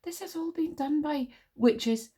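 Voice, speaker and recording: A woman's voice: a little high, lively intonation, normal speed, husky, pitched above the performer's own voice. A woman voicing a feisty, outspoken young warrior girl in an audiobook, speaking with forthright emphasis. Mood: dismayed. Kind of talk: audiobook character voice.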